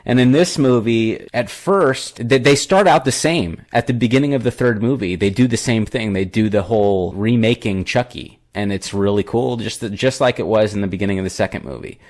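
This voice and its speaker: Young male podcast co-host, low voice, casual and filler-heavy. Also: moderate pace, hardly any pauses, a little mellow. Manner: rambling, filler-heavy retelling. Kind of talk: casual movie review chat.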